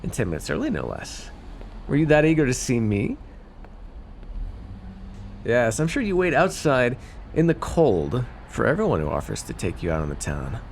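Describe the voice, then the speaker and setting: A man's voice: mid-range voice, huge pitch swings, moderate pace, gravelly, pauses often, slight background noise. A man playing a cocky, teasing mafia boss with a theatrical, flirty drawl in an ASMR roleplay. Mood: flirtatious, teasing.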